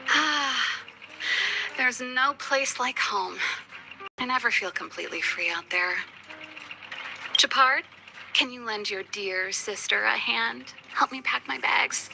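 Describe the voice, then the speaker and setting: An actress voicing a spirited, wistful woman inventor and musician with a teasing big-sister manner in a video game, her phrases sometimes soft and hushed. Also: a little high, slow, gravelly, long pauses, exaggerated voice, poor audio. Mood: playful, casual. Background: background music.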